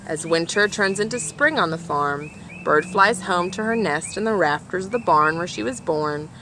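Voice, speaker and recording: A woman's voice: lowish voice, lively intonation, moderate pace, bright, forceful tone, a little hiss or hum, low-quality recording. A woman reading a children's picture book aloud, explaining things directly to her listeners as she goes. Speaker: storybook reader for a nature organization.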